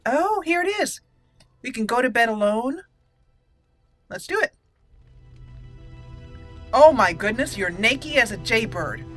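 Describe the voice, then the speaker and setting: A woman's voice: on the high side, big pitch swings, slow pace, long pauses, fairly bright tone, soft background music. A woman hosting a roleplay let's play, chatting casually and playfully as she thinks aloud. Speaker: game roleplay channel host.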